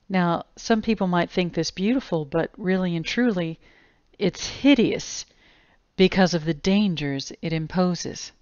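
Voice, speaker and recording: A woman reads an explanatory voice-over very slowly and deliberately. Her voice is smooth and a little low, with a grave delivery. The recording is dry and somewhat muffled. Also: no room sound. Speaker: narrator. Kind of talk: scripted explanatory video narration. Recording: dull lo-fi close dry voice-over.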